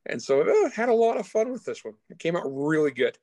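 A man's voice: a little high, very animated, a little fast, a little mellow, no echo. A man who is an author being interviewed, chatting informally and with enthusiasm about the work. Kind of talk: casual interview chat about their own books.

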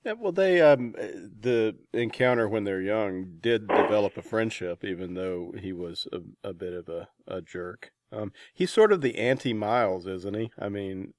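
A man hosting a casual interview, speaking informally and haltingly as he rephrases his questions. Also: fairly low pitch, expressive, noisy background. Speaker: interviewer, podcast host.

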